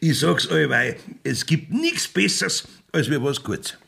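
An actor playing a sly, evasive, beer-loving village judge in a Bavarian dialect radio play, with a folksy, rambling, blustering manner. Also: male voice, on the high side, sing-song, measured pace, creaky, background noise, full character voice. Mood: jovial, wheedling. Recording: 1970s radio drama studio recording.

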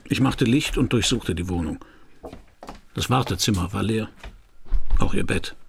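An actor playing a cool, laconic Paris private detective in a German radio crime drama. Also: male voice, lowish voice, unhurried, creaky, slight background noise. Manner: laconic, dry. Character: cool, hard-boiled male Paris private detective narrating his case. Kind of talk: German radio crime drama, first-person narration and dialogue.

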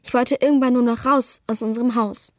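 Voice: mid-range voice, fairly even intonation, fairly quick, no room sound, clean studio sound, lightly acted. A female speaker voicing a frightened schoolgirl giving scripted radio testimony about an earthquake. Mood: anxious, earnest.

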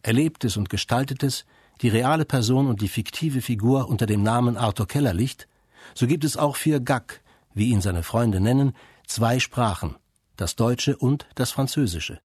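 Measured male radio feature narrator. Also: medium pitch, darkish tone, husky, no echo, studio quality.

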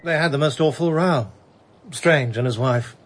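A man's voice: mid-range voice, moderate pace, soft background music, lightly acted. An actor playing a dry, disapproving man who reports family quarrels in a radio drama.

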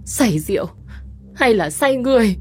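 Man doing sly young wife, high put-on voice, fake sweet, breathy edge; storybook villainess. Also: expressive, normal speed, darkish tone, soft background music. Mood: mock outrage. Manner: shrill, theatrically fake concern. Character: scheming young woman, sly and falsely sweet. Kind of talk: storybook reading.

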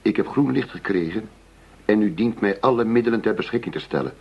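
An actor in a Dutch radio play playing a blustering, fed-up police chief who talks bluntly, with sarcasm and combative demands. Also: male voice, on the low side, animated, brisk, breathy edge. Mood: angry, demanding. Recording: dull, muffled radio drama recording.

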